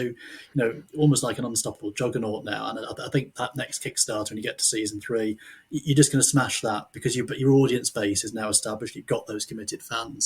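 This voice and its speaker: A man's voice: medium pitch, breathy edge. A man hosting a podcast in a casual interview style, speaking hesitantly but with enthusiasm. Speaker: podcast host.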